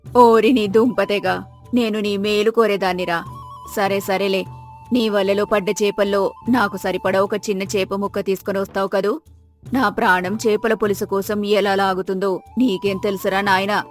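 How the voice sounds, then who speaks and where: A woman's voice: medium pitch, fairly even intonation, moderate pace, soft background music, pitched below the performer's own voice, natural voice. A woman voicing an elderly, food-loving village granny, wheedling yet kindly, with a drawling aged delivery in a storybook tale. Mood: wheedling, teasing.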